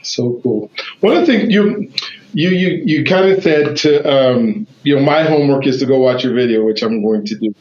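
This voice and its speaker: A man's voice: mid-range voice, animated, normal speed, a little mellow. A man hosting an interview, enthusiastic and repeating himself as he talks. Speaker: podcast host. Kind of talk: casual interview chat. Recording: roomy lo-fi sound with faint noise.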